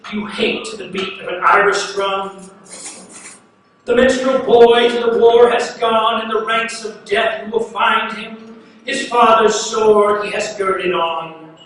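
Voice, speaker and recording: A man's voice rings out from far off in a very echoey hall, with a long reverb tail. He recites poetry like an incantation, pitched high, repeating phrases insistently and setting them apart with emphatic pauses. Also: pushed and bright. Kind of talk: dramatic spoken-word poetry recitation. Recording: very echoey hall, distant mic, faint noise.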